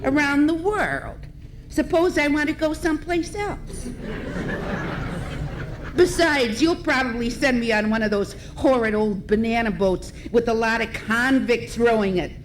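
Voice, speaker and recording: Woman doing naggy whiny radio sitcom wife, high voice, melodramatic n accusing, bit noisy live recording. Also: unhurried, crowd noise, lightly acted. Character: nagging, self-pitying, suspicious wife in radio sitcom. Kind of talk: old-time radio comedy sketch acting. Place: live stage in a hotel ballroom.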